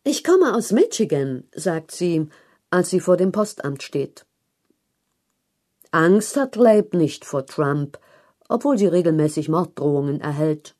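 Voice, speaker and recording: A woman narrating a written news feature in a measured, articulate read-aloud style. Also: on the low side, very animated, mellow. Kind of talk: scripted narration of long-form journalism.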